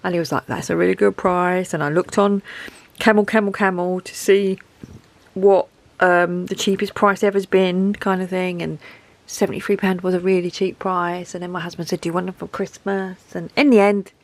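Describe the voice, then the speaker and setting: A woman's voice: on the low side, little pitch movement, normal speed. A woman with a head cold and a dry throat, chatting in a rambling, self-deprecating way as she shows off a craft haul at home. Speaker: craft vlogger showing a haul. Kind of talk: casual chat to camera.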